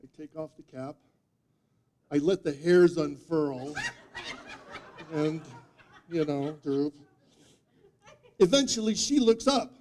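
Guy in his 50s doing a funny tall tale speech, kinda high but soft-edged voice, deadpan and dramatic. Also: takes it slowly, pauses often. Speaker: speech contest speaker. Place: meeting room before a live audience, indoors. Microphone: distant audience camera microphone.

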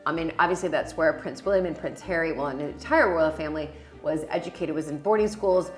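A woman's voice: sits low, fast talker, soft background music. Chatty American woman in a roomy kitchen. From United States. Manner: chatty, laughing, many fillers. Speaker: channel host. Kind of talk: casual chat to camera. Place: large farmhouse kitchen. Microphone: lapel mic.

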